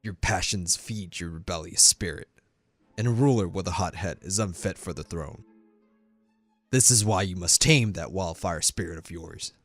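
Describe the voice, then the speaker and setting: A stern king and father played by a man, very low in voice and firmly authoritative. His speech is formal and dignified, recorded dry over faint music in a fantasy audio drama. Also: moderate pace, dry recording. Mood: stern, commanding. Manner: firm, quiet, formal, authoritative.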